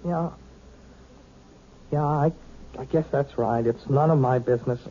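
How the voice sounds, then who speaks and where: A man's voice: medium pitch, a little slow, warm tone, slight background noise, natural voice. An actor playing an earnest, awkward young man, a piano student who stammers, in a 1970s radio drama. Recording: dull old radio broadcast.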